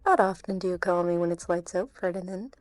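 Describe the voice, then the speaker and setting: A woman's voice: fairly low pitch, fairly quick, faint music behind, lightly acted. A woman playing a sly covert agent in a game fan dub, teasing and insinuating with cool menace. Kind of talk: scripted anime game dub acting.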